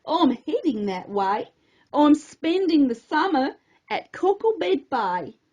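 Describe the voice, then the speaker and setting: A woman's voice: sits high, very animated, takes it slowly, a little mellow, exaggerated voice. A woman voicing a laid-back, friendly young male surfer in a rhyming storybook, on a slightly dull recording. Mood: easygoing.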